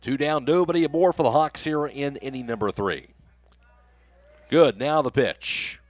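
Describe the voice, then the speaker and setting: An American man works as a radio play-by-play announcer at a baseball game. His voice sits at a middle pitch. The sound is dry, with very little room in it. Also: moderate pace, dry recording. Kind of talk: live baseball play-by-play commentary. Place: live radio broadcast from a high school baseball game.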